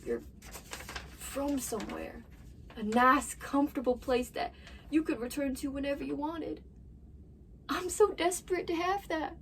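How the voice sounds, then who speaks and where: A woman's voice: sits high, unhurried, long pauses. A woman performing a dramatic monologue as a desperate young farm wife confessing to her absent husband in an anguished manner. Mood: quiet, bitter, then desperate longing. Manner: anguished, swinging between whispers and outbursts. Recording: distant mic with faint background noise.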